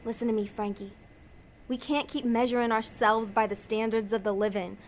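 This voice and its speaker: A woman's voice: on the high side, steady tone, normal speed, slight background noise, lightly acted. A woman playing a cynical, hard-nosed demon schemer in an audio drama, blunt and insistent in everyday ungrammatical speech.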